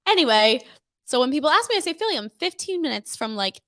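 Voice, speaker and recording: Young woman co-host, high-ish voice, dry close sound, chatty and self-mocking. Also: very animated, fast talker, a bit bright, no room sound. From New Jersey. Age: 20s. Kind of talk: casual chat.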